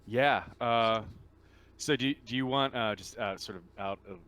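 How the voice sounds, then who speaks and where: A man's voice: medium pitch, a little slow, fairly bright tone. A man speaking casually and hesitantly as game master of a tabletop roleplaying session.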